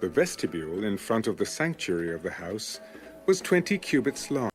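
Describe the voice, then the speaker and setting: A man giving a measured, formal scripted narration as a reader of scripture. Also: fairly low pitch, very animated, soft background music. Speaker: audio Bible narrator.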